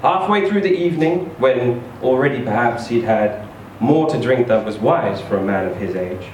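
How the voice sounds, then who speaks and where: A man reading a short story aloud in an expressive, theatrical, emphatic storytelling style. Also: medium pitch, normal speed. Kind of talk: expressive live literary reading. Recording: echoey room, distant mic, faint noise.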